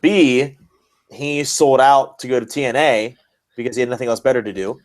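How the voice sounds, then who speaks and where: A man's voice: medium pitch, fairly even intonation, normal speed. A man hosting a casual wrestling podcast, ranting and bantering in an emphatic, sarcastic way. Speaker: podcast host.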